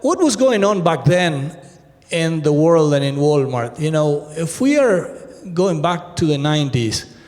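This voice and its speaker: A man in his fifties with an Argentine accent gives a keynote to a conference crowd. He speaks in a loose, conversational way, full of fillers and restarts. His voice sits a little high, and it carries a long reverb from the big hall. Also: very animated, unhurried, darkish tone. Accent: Argentine Spanish. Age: 50s. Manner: conversational, many fillers and restarts, addresses audience directly. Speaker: retail executive keynote speaker.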